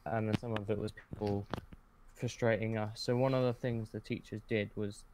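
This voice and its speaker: A man's voice: on the low side, little pitch movement, normal speed, a little mellow, poor audio. A man chatting casually as a podcast guest, hesitant and often correcting himself as he goes. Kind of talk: casual conversation.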